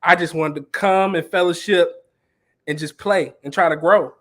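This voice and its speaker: A man's voice: a little high, moderate pace. A young man who plays music at church, telling stories casually on a panel and building on what others said. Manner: relaxed, storytelling, builds on others.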